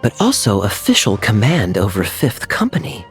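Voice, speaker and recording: A suave teasing knight, chuckling, smooth, animated pitch, game cutscene. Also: male voice, moderate pace, faint music behind. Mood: wry. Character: suave teasing male knight, adult, sly and relaxed.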